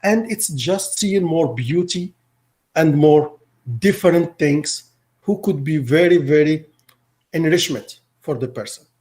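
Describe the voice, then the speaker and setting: A middle-aged man from Morocco, Arabic his first language, speaks in a somewhat high voice. He answers interview questions haltingly, stopping to repeat words and restart his sentences. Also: a little mellow. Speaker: teacher.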